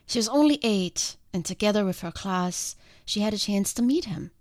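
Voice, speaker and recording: A woman speaks close to a podcast microphone in a calm, earnest and reflective way, hosting her own solo show. Her voice sounds congested from a sinus infection, and the recording is dry, with no room sound. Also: medium pitch, moderate pace, no room sound. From outside the country she now lives in. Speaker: podcast host.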